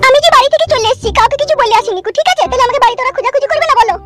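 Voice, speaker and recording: Woman doing a pushy young village wife, high rapid voice. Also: fairly bright tone, faint music behind. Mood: impatient. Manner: pestering, insistent questioning.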